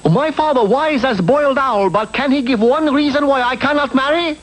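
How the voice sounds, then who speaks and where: An actor voicing an excitable young son in a cartoon fairy-tale parody, with a mock Chinese accent and stilted, exclamatory broken English. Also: male voice, extremely high pitch, normal speed. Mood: eager, respectful. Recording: noisy old TV cartoon soundtrack.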